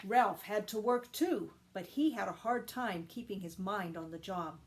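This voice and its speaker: A woman reading a children's story aloud with expressive, deliberate emphasis. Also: medium pitch, slight background noise. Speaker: storytime reader, teacher.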